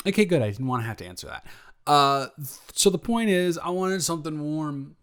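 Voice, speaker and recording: A young man playing a sheepish, playfully defensive roommate in an improvised romantic audio roleplay, talking casually in halting, self-interrupting rambles and answering his own questions. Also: fairly high pitch, animated, a bit bright, clear, resonant tone.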